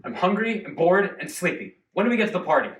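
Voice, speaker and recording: A man's voice: high voice, big pitch swings, quick pace, a bit bright. A man playing a whiny lustful groom. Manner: melodramatic, whiny. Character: bossy lustful male groom. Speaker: actor in a comedy skit. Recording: echoey room, faint noise.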